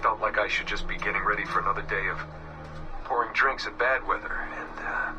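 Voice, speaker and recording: An actor playing a weary, haunted young man, recording a halting, reflective confession in a subdued murmur for a game. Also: lowish voice, fairly even intonation, highs cut off, natural voice. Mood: wistful, halting. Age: young adult. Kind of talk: recorded confessional audio diary, game voice acting. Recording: distant game audio with music behind. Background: music.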